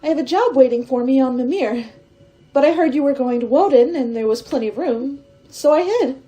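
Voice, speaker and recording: A naive young woman stowaway voiced by an actress in a radio drama. Her voice is high and mellow, with a carefree, innocent chattiness. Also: fairly quick, lightly acted, rough recording. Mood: innocent, unworried. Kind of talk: dramatic radio play acting.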